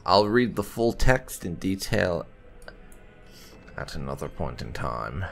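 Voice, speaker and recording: A man giving video game commentary in a deliberate, musing way, sometimes reading dialogue aloud. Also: sits low, big pitch swings, vocal fry. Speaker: let's-play gamer. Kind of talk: roleplay gameplay commentary. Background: video game music.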